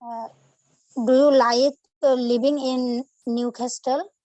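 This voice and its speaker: Woman student on a video call, slow and halting, lots of ums, fairly high voice. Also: steady tone, dark tone, no room sound, lo-fi sound. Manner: hesitant, many fillers, searching for words. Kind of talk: classroom practice.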